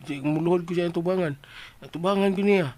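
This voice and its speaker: An actor in a Visayan Filipino radio drama playing an excitable, clumsy household helper in a whiny, exclaiming comic manner. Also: medium pitch, fast, natural voice. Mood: meek, pleading.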